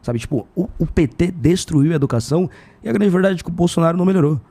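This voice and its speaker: A man's voice: fairly high pitch, animated, fast, mellow, no room sound. A Brazilian man in casual political discussion, speaking colloquially and repeating himself for emphasis.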